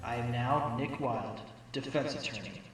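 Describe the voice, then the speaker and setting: A man's voice: medium pitch, normal speed, far from the mic, lightly acted. A man playing a wry, earnest fox who is a rookie defense attorney in an amateur audio drama. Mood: reflective. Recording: roomy, off-mic, under loud music.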